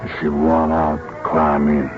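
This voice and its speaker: An actor playing a chatty, cagey music hall boss in an old-time radio drama, talking in a halting way. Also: male voice, rumbling low, completely flat delivery. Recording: dull lo-fi old radio recording, music behind.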